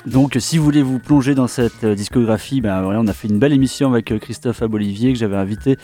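A man's voice: medium pitch, quick pace, dark tone. A man chatting on a radio music show as a guest, hesitant and full of fillers. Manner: hesitant, lots of fillers and repetitions. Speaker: radio guest, fellow host. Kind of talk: casual radio chat. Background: faint instrumental music.

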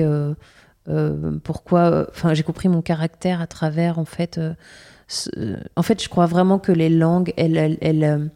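Young woman, singer from Brittany; low, soft, warm tone; halting, searching speech. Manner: hesitant, many fillers and restarts. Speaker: singer being interviewed. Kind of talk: casual interview answers.

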